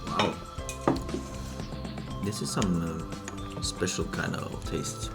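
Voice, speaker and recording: A man's voice: low voice, extremely slow. A man hosting a casual home cooking show, chatting and asking his guest one question after another in a kitchen. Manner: curious, keeps asking questions. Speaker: host. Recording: music playing underneath. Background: background music.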